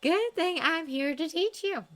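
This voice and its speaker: A woman's voice: sits high, animated, moderate pace, a bit bright, pitched above the performer's own voice. A woman voicing a bossy, teasing young woman who coaches archery, in a fan fiction reading. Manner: teasing, instructive.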